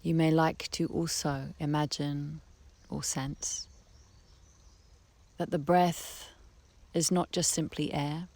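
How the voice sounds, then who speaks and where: A woman leading a guided meditation in a soft, breathy voice, speaking gently with long unhurried pauses. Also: low voice, level tone. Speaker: guided relaxation teacher. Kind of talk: guided meditation, soothing slow instruction. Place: outdoors beside a harbour. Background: birdsong, trickling stream, breeze.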